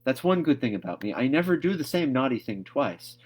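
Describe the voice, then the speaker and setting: A man's voice: mid-range voice, big pitch swings, fairly quick, a little mellow, breathy edge, pitched above the performer's own voice. A man voicing an earnest, imaginative young girl in a storybook, with a wordy, dramatic manner. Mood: hesitant, contrite. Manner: effusive, wordy, hesitant when questioned.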